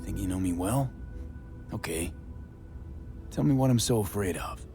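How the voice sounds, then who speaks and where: Tough male mercenary, very deep gravelly voice, terse and sardonic, soft music under. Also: lively intonation, moderate pace. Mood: terse weary. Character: hardened male mercenary, blunt and weary. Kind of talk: video game cutscene acting.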